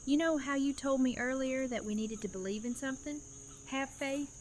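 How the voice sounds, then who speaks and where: A woman's voice: high voice, moderate pace, a little hiss or hum, natural voice. An actress voicing a grieving, determined woman leader who speaks firmly. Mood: resolute. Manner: firm, resolute.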